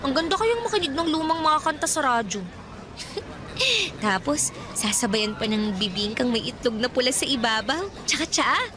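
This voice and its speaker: A woman's voice: high-pitched, sing-song, normal speed. A woman playing a shy, old-fashioned fifteen-year-old provincial girl in a radio drama, grumbling and guarded. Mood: dreamy, amused. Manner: grumbling, muttering to herself. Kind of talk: Tagalog radio drama acting. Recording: background music, busy outdoor crowd and traffic sounds.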